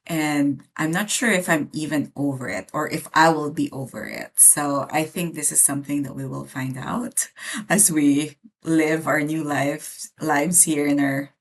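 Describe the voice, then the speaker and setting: A woman's voice: low-pitched, unhurried. A woman in her thirties from the Philippines, co-hosting a podcast in a reflective, casual chat full of fillers. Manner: reflective, many fillers and restarts. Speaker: podcast co-host.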